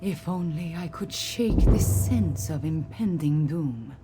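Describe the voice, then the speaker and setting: A woman voicing a weary, regal cat-folk warrior queen in a video game, speaking formally and earnestly in measured phrases. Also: sits low, expressive. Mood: earnest, solemn. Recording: game music behind the voice.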